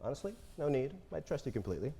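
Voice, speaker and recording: A man's voice: medium pitch, big pitch swings, rapid-fire, creaky, dark tone, husky, exaggerated voice. A man playing a smug, entitled, predatory company chief in a staged play reading, recorded with heavy noise. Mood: dismissive politeness. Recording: very noisy recording with clicks.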